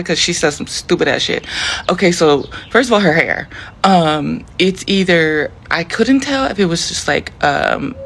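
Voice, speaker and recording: Woman from the US, casual vlog rant, rambling, gravelly voice, faint background noise. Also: lowish voice, fairly bright tone. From United States. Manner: rambling, lots of fillers and restarts, incredulous. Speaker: social media commentator.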